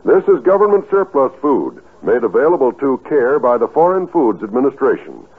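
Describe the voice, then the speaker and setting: A male announcer with a medium-pitched, husky voice and a widely varied melody. He reads earnestly and deliberately in formal fifties radio style. The recording is thin and muffled like an old broadcast. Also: very animated.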